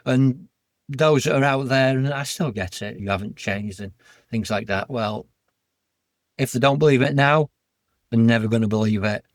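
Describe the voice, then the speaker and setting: A man with a broad Yorkshire accent talks in an earnest, heartfelt, plain-spoken way as a podcast guest. His voice sits in a middling pitch range. The sound is dry and close, with no room echo. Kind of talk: candid personal interview.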